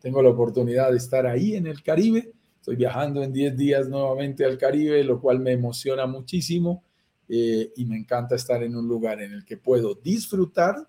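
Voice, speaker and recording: A man's voice: medium pitch, normal speed, dark tone. A Colombian man with a Colombian Spanish accent, hosting a live-streamed investing webinar in an enthusiastic, persuasive way and speaking straight to his viewers. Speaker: live webinar host for real estate investing. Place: indoors in Bogotá.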